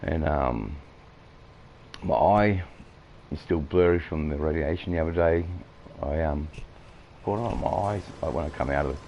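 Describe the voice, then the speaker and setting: A very deep, gravelly Australian man talks over a farming game, his voice croaky from coughing. He rambles and stops often for fillers, and his tone is dark and mellow. A faint hiss sits behind him. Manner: rambling, halting, many fillers. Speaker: gameplay streamer. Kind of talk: casual farming-game commentary.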